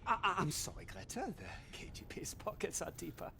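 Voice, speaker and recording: A man playing a nervous, apologetic informant in a game scene, halting and stammering. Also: a little high, huge pitch swings. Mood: ashamed. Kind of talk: video game cinematic acting. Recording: clear background noise and ambience.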